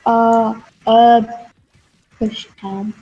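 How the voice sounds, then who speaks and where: A woman with a lisp and a very young-sounding voice, chatting quickly and casually as a co-host on a stream. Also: fairly high pitch, flat delivery, mellow, soft background music. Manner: chatty and quick, with many likes. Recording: dull lo-fi mic with faint noise.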